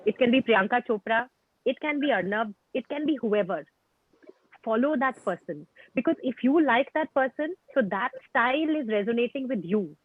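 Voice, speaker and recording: A woman's voice: fairly high pitch, animated, moderate pace, no room sound. Bengali-accented woman on a tinny call. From India. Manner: frank, emphatic, repeats phrases. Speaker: guest speaker on communication skills. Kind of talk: conversational advice on a video call. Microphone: video call. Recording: tinny phone-like call audio, dry.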